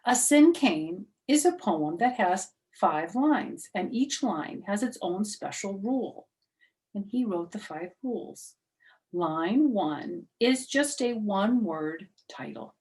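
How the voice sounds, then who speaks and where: Expressive woman reading a children's story. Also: mid-range voice, takes it slowly, low-quality recording. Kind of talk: animated read-aloud with asides to listeners.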